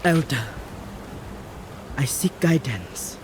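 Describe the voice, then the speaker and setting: A woman's voice: rumbling low, big pitch swings, drawn-out words, noisy background, full character voice. A woman voicing a stern priestess of a sea goddess in a video game, solemn, formal and commanding. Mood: troubled, doubting. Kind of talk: dramatic video game acting. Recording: music behind the voice.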